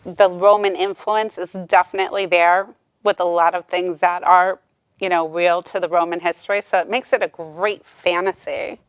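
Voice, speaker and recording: A woman's voice: lowish voice, steady tone, moderate pace, smooth, no echo. Enthusiastic woman interviewer, dry sound. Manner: enthusiastic, encouraging. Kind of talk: casual interview chat.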